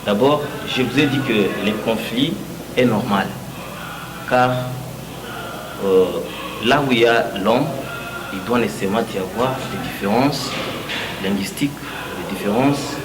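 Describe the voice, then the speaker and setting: A man with a Congolese French accent sets out his view in a debate. He is hesitant and speaks in broken phrases, searching for words. The sound is echoey, with music clearly behind him. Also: on the low side, unhurried, long pauses. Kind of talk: explanatory debate speech.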